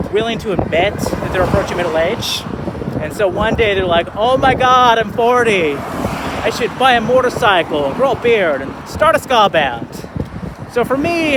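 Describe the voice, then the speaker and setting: A man in his thirties talking casually in a vlog, relaxed and rambling with lots of fillers, recorded outdoors while skating. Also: sits high, very noisy. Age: 30s. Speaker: vlogger.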